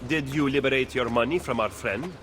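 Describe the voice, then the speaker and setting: An actor playing a shrewd, skeptical Italian political advisor in a game cutscene, with measured, formal, pointed speech and an Italian accent. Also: male voice, medium pitch, lightly acted. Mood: wry, pessimistic. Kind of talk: video game cutscene acting. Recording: game music behind the voice. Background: music.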